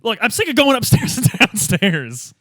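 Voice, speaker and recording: A man's voice: very high voice, wildly swooping pitch, normal speed, fairly bright tone, no room sound. A man playing a tabletop game, casually talking through his moves and thinking aloud. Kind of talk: casual improvised play.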